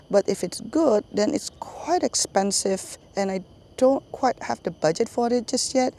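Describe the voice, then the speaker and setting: A woman's voice: mid-range voice, mellow. A middle-aged woman with a Singaporean English accent, talking casually to her channel's viewers and laughing often mid-sentence. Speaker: YouTube channel host. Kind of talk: casual voiceover chat to viewers.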